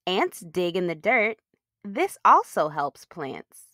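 A woman's voice: on the low side, sing-song, slow pace, sharp tone, no room sound, studio quality. A woman hosting a children's read-aloud, talking to young listeners in simple, explanatory language.

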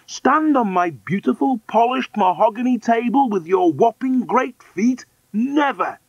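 Man as storybook wife, woman, high-pitched, haughty, snappish, bossy. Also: sing-song, moderate pace, a bit bright, no room sound, dull audio. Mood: indignant. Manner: snappish, indignant. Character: haughty, shrill, bossy adoptive mother, a woman.

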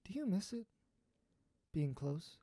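A performer plays a sentimental old friend in an audio drama, earnest and pleading. The voice is mellow and sits mid-range, with hesitant, natural phrasing. It is recorded dry. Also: medium pitch, very animated, takes it slowly, no echo. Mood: nostalgic. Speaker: voice actor in student audio drama.

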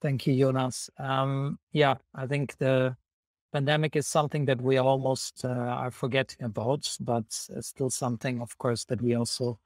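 A young man hosting an online panel interview over a video call, speaking in hesitant, halting phrases. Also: medium pitch, little pitch movement, no room sound, clean studio sound. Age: young adult. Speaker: host, volunteer coordinator. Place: indoor room, video call. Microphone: wired earphones on video call.

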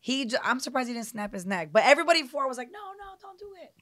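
Lively woman co-host in casual chat. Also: high voice, rapid-fire, no echo, studio quality. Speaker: podcast co-host. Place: podcast studio.

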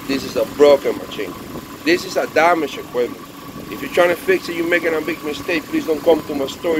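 A Spanish-accented man with a slightly high voice talks casually into a handheld phone in a cluttered workshop. He coaxes his machines along like pets while he explains things for his buyers. An engine noise sits clearly under his voice. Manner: chatty, talks to the machine as if to a pet. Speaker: eBay parts seller. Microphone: phone camera held close.

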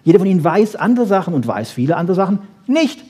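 Husky, higher-pitched man giving a popular lecture. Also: big pitch swings, fast, mellow. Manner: wry humour, rhetorical questions, frequent "ja?" tags. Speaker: lecturer on brain research. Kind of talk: lively popular science lecture to an audience.

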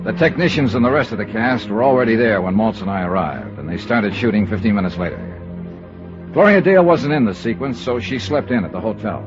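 A man's voice: lowish voice, animated, over music, natural voice. An actor playing a blunt, sardonic male investigator who narrates his own case in old-time radio drama. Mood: tense narration. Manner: brisk, sardonic, hard-boiled. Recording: dull vintage radio recording.

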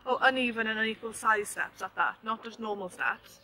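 A woman travel vlogger with a bright, clear-edged tone talks casually. She is outdoors, speaking into a handheld camera at arm's length. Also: a little high, fairly even intonation, a little fast, poor audio. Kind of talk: casual chat. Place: outdoors by a building entrance.

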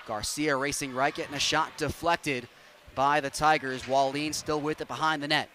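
A young man calls a hockey game play-by-play in a fairly high voice. He keeps up a quick, steady flow of action and then erupts into loud, excited goal calls. A faint hum of arena noise sits behind him. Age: young adult. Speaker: play-by-play announcer.

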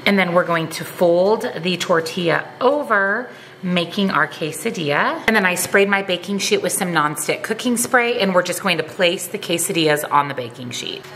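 A woman's voice: fairly low pitch, lively intonation, moderate pace, a bit bright, reverberant. A woman giving upbeat step-by-step meal prep instructions in her home kitchen. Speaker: cooking vlogger.

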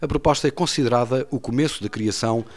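Man, news voice-over, brisk formal reading, European Portuguese accent, middle pitch. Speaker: news reporter. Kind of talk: scripted news narration.

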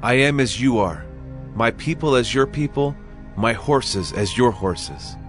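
A man playing a pious, courteous king in a dramatized audio Bible, calm and dignified. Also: mid-range voice, measured pace, soft background music, close to an everyday voice. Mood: measured. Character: measured, pious, courteous male king of Judah.

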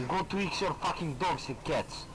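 A man from Serbia with a Serbian accent, a native Serbian speaker trading insults in halting, broken English over headset voice chat during an online game. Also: a little high, a loud crowd, low-quality recording.

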